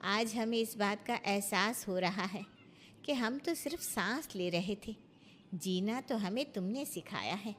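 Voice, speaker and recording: A woman's voice: medium pitch, a little slow, fairly bright tone, big-room sound, a little hiss or hum, lightly acted. A woman voicing a grateful, emotional mother-in-law in a cartoon moral story, speaking in a heartfelt way.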